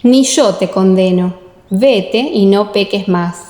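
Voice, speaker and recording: A woman's voice: medium pitch, slow, dark tone, close to an everyday voice. A woman voicing Jesus as a solemn, authoritative teacher in an audiobook radio play. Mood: gentle, solemn. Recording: echoey, long reverb.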